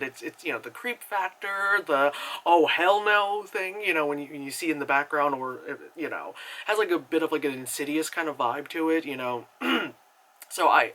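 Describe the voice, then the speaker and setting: A young man talks casually through a movie review, rambling and filling gaps with likes and you-knows. His voice sits a little high with a bright, forward edge. He breaks into animated acted reactions. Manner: chatty and animated, many fillers, jumps into high acted reactions.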